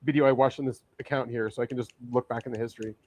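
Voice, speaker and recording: A man's voice: medium pitch, fairly even intonation, racing, darkish tone. A man hosting a casual live-stream chat, talking in an easygoing, wry way.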